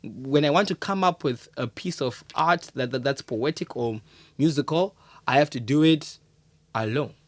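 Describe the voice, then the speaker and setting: A man's voice: medium pitch, moderate pace, dry recording. A man speaking as a guest on a podcast, describing himself in a reflective way, often repeating words as he searches for them. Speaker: podcast guest, poet and creative. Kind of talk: casual podcast conversation.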